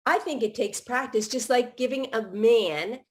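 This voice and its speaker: A woman's voice: a little high, a little slow. A middle-aged woman hosting a live podcast, chatting casually in long run-on thoughts with many restarts. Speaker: podcast host.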